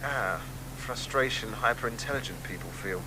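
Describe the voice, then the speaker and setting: An actor playing an effusive, fawning admirer in a radio drama. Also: male voice, lowish voice, moderate pace, natural voice. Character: gushing admirer, effusive and smug. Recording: radio broadcast with steady background noise.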